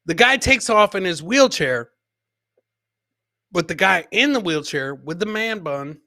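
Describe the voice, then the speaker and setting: Male podcast host, high bright voice, casual comedy commentary, repeats catchphrases, chats to producer and viewers, dry close sound. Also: expressive, a little slow, no echo. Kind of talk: casual live comedy commentary on video clips.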